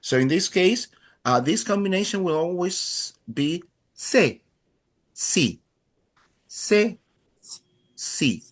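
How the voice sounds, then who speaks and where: Chilean guy teaching spanish, slow and easygoing, lots of uhs, cheering students on. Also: high voice, lively intonation. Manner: encouraging, playful, many filler sounds. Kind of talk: language class instruction.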